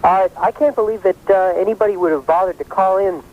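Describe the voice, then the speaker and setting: A man in his twenties calling a radio show, chatting casually and a bit haltingly over a tinny phone line. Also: sits high. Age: 20s. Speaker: phone-in caller. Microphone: telephone.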